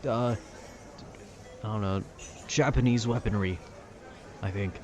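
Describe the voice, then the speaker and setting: A man's voice: lowish voice, measured pace, a little mellow, faint music behind. A man rambling casually and conversationally about video games in a nerdy, meandering way. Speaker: content creator.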